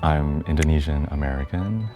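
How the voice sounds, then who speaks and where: A man in his twenties introducing himself calmly on a reality show. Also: extremely low pitch, moderate pace. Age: 20s. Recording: show clip with clear background music.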